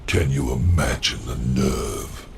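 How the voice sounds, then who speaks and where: An actor playing a sly, scheming demon lord in a video game, with a smug, deliberate, theatrical delivery. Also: male voice, a bass voice, a little hiss or hum, heavily acted. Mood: smug, defiant.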